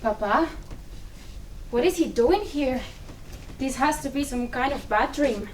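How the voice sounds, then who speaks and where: Woman acting high-voiced, worn-out, exasperated mother. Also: animated, unhurried, a little mellow. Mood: bewildered. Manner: weary, exasperated, pleading. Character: exhausted, exasperated Cuban immigrant mother, wounded and guarded. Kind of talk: dramatic play reading. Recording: distant mic, faint room noise.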